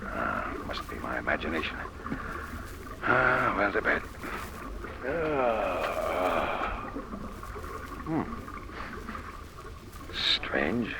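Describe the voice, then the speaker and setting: An actor playing a weary, uneasy ship captain muttering to himself in an old-time radio drama. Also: male voice, sits low, sing-song, extremely slow, pauses often, heavily acted. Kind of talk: 1940s radio acting. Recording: old radio recording, faint noise.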